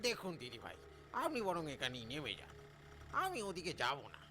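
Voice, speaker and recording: Man acting a sharp, shady auto-rickshaw driver. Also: on the high side, wildly swooping pitch, normal speed, a little hiss or hum, heavily acted. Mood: uneasy, reluctant. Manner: sly, evasive, gruffly colloquial. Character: shady, menacing male auto-rickshaw driver, secretly a robber.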